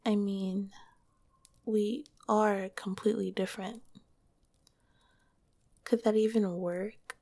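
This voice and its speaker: A soft, breathy whisper of a kind slime monster girl, as a woman plays her in an ASMR roleplay. The tone is mellow and dark, with a gravelly edge. She speaks gently and hesitantly, right up at the microphone. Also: medium pitch, fairly even intonation, slow pace, pauses often. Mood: shy. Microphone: close microphone.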